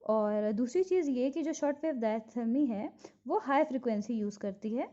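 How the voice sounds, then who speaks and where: A woman's voice: fairly high pitch, animated, moderate pace, warm tone. A woman with an Indian accent giving an instructional lecture from notes, explaining and repeating key points to be memorized. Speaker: physiotherapy teacher. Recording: dull lo-fi recording.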